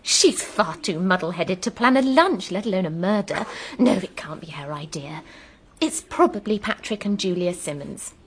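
Artless, chatty young-hearted English wife, noisy background. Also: female voice, on the low side, lively intonation, normal speed. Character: affectionate, artless, cheerful vicar's wife. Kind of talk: radio drama acting.

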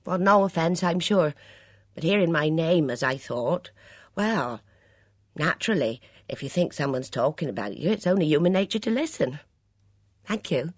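A woman's voice: low voice, lively intonation, normal speed, no room sound, clean studio sound, pitched above the performer's own voice. Woman putting on a huffy, sulky English waitress. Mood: mollified. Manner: huffy, self-righteous, emphatic. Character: sulky, easily offended tea-room waitress.

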